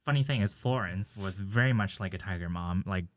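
A young adult from Taiwan who was later raised in the United States, chatting casually in a halting way and circling back over phrases. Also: deep voice. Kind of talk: casual chat.

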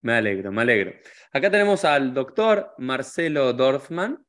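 A man's voice: mid-range voice, big pitch swings, normal speed, a bit bright. A man hosting an interview podcast, friendly, talkative and candid. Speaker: podcast host.

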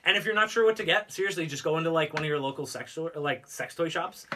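A man's voice: a little high, fast talker, sharp tone. A man co-hosting a casual podcast, talking in a hesitant way full of filler words. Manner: hesitant phrasing with many likes and ums. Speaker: podcast co-host. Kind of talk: casual chat. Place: podcast recording studio. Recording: slightly distant from the mic.

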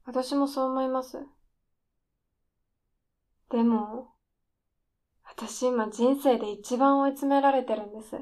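Radio drama role: would-be female screenwriter at the end of her rope, higher-pitched, needling and defiant. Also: fairly even intonation, slow pace, pauses often, darkish tone. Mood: desperate, resolute. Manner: needling, intense, provocative polite speech. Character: desperate, defiant, lazy aspiring female scriptwriter. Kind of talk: radio drama dialogue acting.

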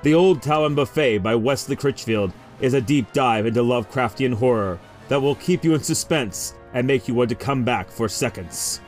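A man's voice: mid-range voice, normal speed, few pauses, faint music behind. A man reading scripted promotional narration as a trailer announcer.

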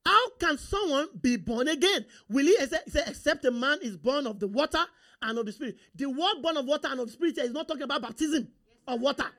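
A man with a Nigerian accent, Yoruba his first language, preaching a sermon. He talks in a fairly high voice and keeps throwing questions at the congregation, prompting them to answer and confirm they are following. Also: lively intonation, fast, pushed and bright. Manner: call-and-response, rhetorical questions to the congregation.

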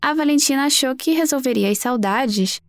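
A woman's voice: sits high, normal speed, no echo, clean studio sound. A Brazilian woman hosting a true crime podcast, telling the story in a conversational way.

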